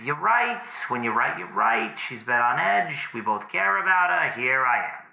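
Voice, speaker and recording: An actor playing a cocky, teasing, foul-mouthed but affectionate boyfriend in naturalistic audio drama, bantering breezily. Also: male voice, medium pitch, sing-song, a little slow, creaky, pushed and bright, echoey room, full character voice, rough recording. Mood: breezy, needling.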